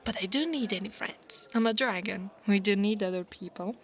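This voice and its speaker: A woman voicing an insecure young dragon girl in an ASMR roleplay, haughty and defensive, stammering when flustered and often dropping toward a breathy whisper. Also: mid-range voice, moderate pace, natural voice. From Italy. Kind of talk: scripted ASMR monster roleplay, acting one side of a conversation.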